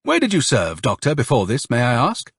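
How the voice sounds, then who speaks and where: A man's voice: medium pitch, huge pitch swings, fairly quick, a bit bright, breathy edge, dry recording, clean studio sound, voice pushed up, heavily acted. A man voicing a suave, insincere army colonel in an audiobook, with honeyed, emphatic politeness. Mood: courteous, emphatic.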